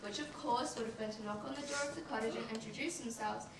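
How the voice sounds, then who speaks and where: Stage parent female actor, measured bedtime reader, firm, high voice, very echoey and far from mic. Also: close to an everyday voice. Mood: storytelling. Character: patient parent reading a bedtime story. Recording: very echoey, noisy, distant room.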